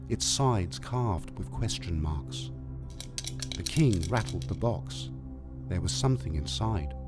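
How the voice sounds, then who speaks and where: A man narrating a scripted tale as a storyteller, with measured, dramatic delivery. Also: fairly low pitch. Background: music.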